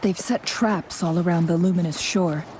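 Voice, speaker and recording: An actress playing a composed, scholarly young woman in a game, speaking formally and articulately in measured phrases. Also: on the low side, noisy background, natural voice. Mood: instructive. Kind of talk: scripted game dialogue. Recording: game music behind the voice.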